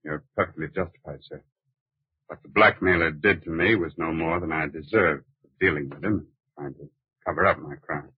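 A low raspy guilty man, hurt and confessing, halting and meek, old radio drama. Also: no room sound, full character voice. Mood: weary remorse. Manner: halting, resigned, deferential. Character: remorseful, injured male hit-and-run driver confessing. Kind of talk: 1940s radio drama acting. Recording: muffled old radio broadcast recording.

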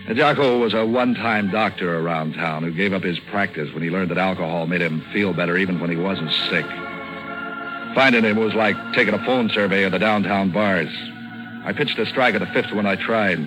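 A cynical, hard-boiled detective played by a man in a 1940s radio noir. His low voice delivers wry wisecracks and slangy narration in a deadpan way. Music plays behind the vintage recording. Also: brisk, close to an everyday voice, low-quality recording. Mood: wry, weary.

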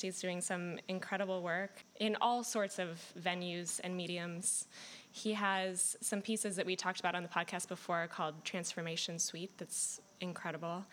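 A woman hosts a live podcast event, introducing guests in an upbeat, chatty way. Her voice is bright and crisp, middle in pitch. A faint murmur of ambience hangs behind her. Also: slight background noise. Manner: brief affirmations, upbeat introductions. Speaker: podcast host. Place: live event venue with audience.

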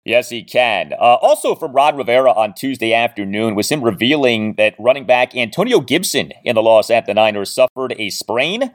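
A man hosting a sports podcast, delivering commentary and stat recaps in a measured, emphatic announcer-like way. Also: medium pitch, animated.

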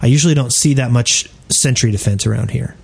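Mid-pitched man hosting casual gaming talk. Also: a little fast, gravelly, darkish tone, husky. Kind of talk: casual game discussion.

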